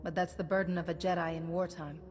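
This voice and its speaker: An actress playing a composed, resolute female commander in a video game, measured and authoritative. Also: fairly low pitch, little pitch movement, a little mellow, soft background music, lightly acted.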